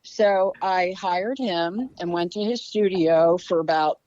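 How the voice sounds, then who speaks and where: A woman's voice: on the low side, slow pace, dry recording, muffled recording. An older woman with a Baltimore accent, chatting as a guest author on a podcast. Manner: chatty, warm storyteller. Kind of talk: casual podcast conversation.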